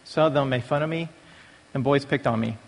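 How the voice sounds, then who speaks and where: A man's voice: mid-range voice, a little fast, vocal fry. A man gives a prepared personal testimony at a church recovery meeting, speaking in a large, echoing hall. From born in Taiwan, raised in the United States from age five. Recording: long reverberant hall echo.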